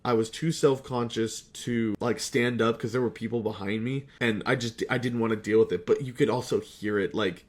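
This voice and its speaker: A man's voice: medium pitch, fairly even intonation, moderate pace, few pauses. A young adult man rambling casually to viewers, profane, sarcastic and self-deprecating, stretching words for emphasis. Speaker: YouTuber and fan-film creator.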